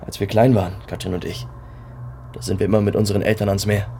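Guy acting jaded sarcastic younger man, radio drama, gravelly, dismissive, street noise behind. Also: fairly low pitch, flat delivery, fast, mellow, lightly acted. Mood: weary, brooding. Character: sullen, scornful younger man, restless and jaded.

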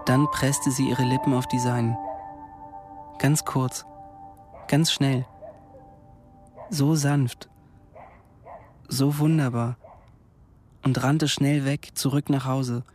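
A male actor plays an earnest young man in a radio play, probing and intent. He talks in clipped little phrases at a medium pitch, with some music underneath. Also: level tone, slow, long pauses, darkish tone, natural voice. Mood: intent, narrating. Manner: terse, clipped short phrases. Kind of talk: radio drama narration and dialogue.

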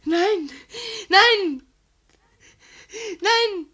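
An actress playing a tender, desperate abandoned mistress in a 1950s German radio drama, halting, tearful and pleading into a telephone. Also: female voice, extremely high pitch, bright, forceful tone, heavily acted. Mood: pleading, hesitant.

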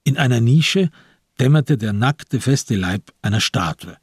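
A man narrating a literary radio drama in a measured, grave and deliberate manner. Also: mid-range voice, expressive, husky, no echo, clean studio sound.

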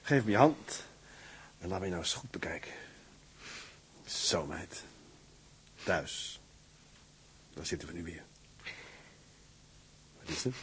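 A man's voice: low voice, animated, slow pace, long pauses, breathy edge, full character voice. A man playing a blunt, hot-tempered tugboat mate in a naturalistic period radio drama. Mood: relaxed.

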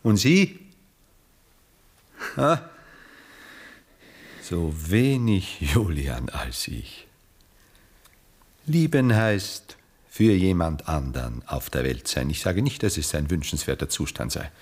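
An aging Viennese gentleman in a classic radio drama. His voice is very low and slightly husky. He speaks in deliberate, rhetorical phrases, as a cool philosopher who keeps people at a distance. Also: very animated, exaggerated voice. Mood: detached, philosophical. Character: aging detached aristocratic Viennese man, coolly philosophical bachelor. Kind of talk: 1960s German radio play acting.

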